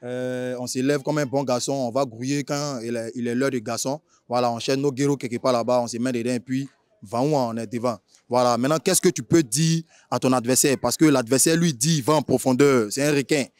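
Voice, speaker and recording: Ivorian man interviewing, deeper-toned and chatty. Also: fairly even intonation, brisk, a little mellow, smooth, no echo. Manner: chatty, repeats filler tags and rephrases questions. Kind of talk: informal spoken interview, street slang.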